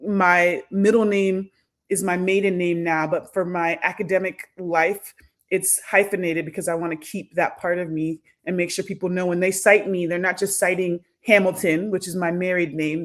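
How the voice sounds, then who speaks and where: A woman in her thirties, an academic guest on a podcast, talking reflectively with frequent pauses and restarts. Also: lowish voice, steady tone. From United States. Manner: thoughtful, many fillers and restarts. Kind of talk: reflective conversational interview.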